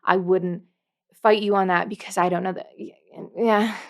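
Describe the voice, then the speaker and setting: A woman hosts a scripted how-to video in a mid-pitched voice. She talks straight to the viewer as a dungeon master, leaning on key words playfully and slipping in dry asides. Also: fairly even intonation, moderate pace. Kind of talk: scripted tutorial to camera.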